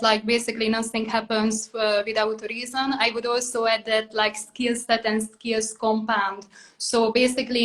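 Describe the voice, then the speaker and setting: A woman's voice: a little high, a bit bright. A woman in her thirties from Hungary with a Hungarian accent, hosting a casual interview and filling her talk with "like" and "basically". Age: 30s. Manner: many "like" and "basically" fillers, loose phrasing. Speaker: podcast host.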